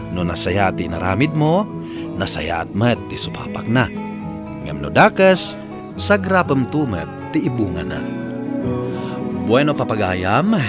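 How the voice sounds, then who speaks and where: A male radio host with an Ilocano accent narrates a letter and gives earnest moral advice. His fairly low voice rises and falls in a lively way. Loud guitar music plays behind him on the broadcast. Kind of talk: scripted radio narration and credits.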